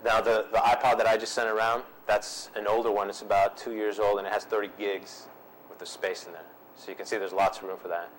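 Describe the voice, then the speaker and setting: A man's voice: fairly low pitch, level tone, a little fast, a little hiss or hum, poor audio. A man presenting a workshop and answering audience questions in an easygoing way, repeating himself with frequent ums. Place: seminar room.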